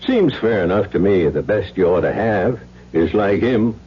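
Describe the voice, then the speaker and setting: A husky, deep-voiced small-town sheriff. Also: male voice, expressive. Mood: solemn, compassionate. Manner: folksy, deliberate, reasoning aloud. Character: plain-spoken small-town sheriff, measured, fatherly old family friend. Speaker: radio drama actor. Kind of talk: 1970s radio drama acting. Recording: dull lo-fi old radio broadcast.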